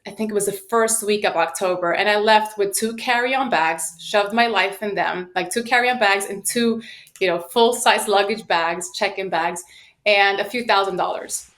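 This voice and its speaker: A woman in her late thirties speaks as a podcast guest. Her voice sits at a middle pitch. She tells personal stories in an animated, emphatic manner, acting out bits of dialogue. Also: moderate pace. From United States and Sweden. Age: late 30s. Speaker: podcast guest, sales professional. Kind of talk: personal storytelling in an interview.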